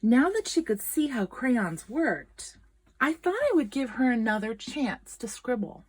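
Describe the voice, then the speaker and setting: A woman reading a children's picture book aloud in an expressive, dramatic storytelling manner. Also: fairly high pitch, measured pace, slightly rough, low-quality recording. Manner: expressive, dramatic, with gasps and whispered asides.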